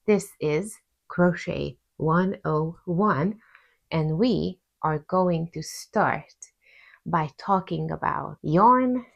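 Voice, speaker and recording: A woman's voice: lowish voice, gravelly. A woman with a Hungarian accent, hosting a crochet tutorial in a chatty, friendly way with playful asides. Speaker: crochet teacher, channel host. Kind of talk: casual tutorial explanation.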